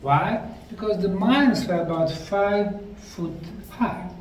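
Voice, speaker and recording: A man with a Bosnian accent giving a deliberate, emphatic lecture to a live audience. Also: high-pitched. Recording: echoey room, mic far off, faint noise.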